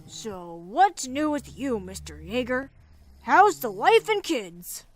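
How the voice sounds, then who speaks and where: A woman's voice: a little high, very animated, slow, no room sound, heavily acted. A woman playing a playful, teasing, mock-bossy young survivor in a fan audio drama. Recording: clear background noise, ambience.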